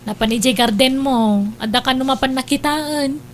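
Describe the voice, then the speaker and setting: A woman's voice: high voice, normal speed, slight background noise, lightly acted. A woman playing a caring, chatty older sister in an Ilocano audio drama. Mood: caring, curious.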